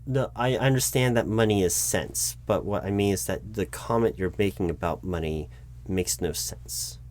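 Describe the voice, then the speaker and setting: Baffled deadpan male interviewer. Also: lowish voice, a little hiss or hum. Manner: patient but baffled, dry and deadpan; halting, with frequent restarts. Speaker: job interviewer in a roleplay. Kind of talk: ASMR roleplay, spoken aloud, not whispered.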